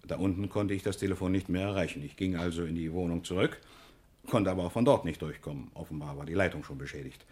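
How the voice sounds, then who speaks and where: Low, husky, courteous pharmacy owner. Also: male voice, quick pace, darkish tone. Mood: animated, recounting. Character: courteous male pharmacy owner, shaken but protesting. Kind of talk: 1960s German radio crime drama acting.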